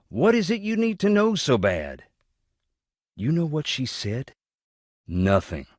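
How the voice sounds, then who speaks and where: A man's voice: on the low side, dry recording, clean studio sound. An actor with a Southern US accent playing a blunt, folksy, brooding man haunted by family tragedy in a video game. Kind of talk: video game character dialogue.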